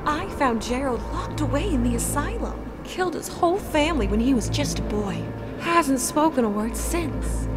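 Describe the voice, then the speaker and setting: A woman's voice: medium pitch, natural voice. An actress playing a mocking, vengeful female spirit in a radio play, sly and theatrical. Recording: voice over loud music.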